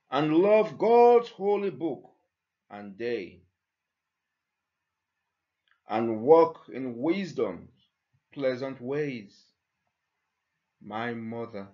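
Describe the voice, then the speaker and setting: A man reciting poetry slowly and deliberately, with measured pauses between phrases. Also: on the high side, mellow, lo-fi sound. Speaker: church member reading a poem.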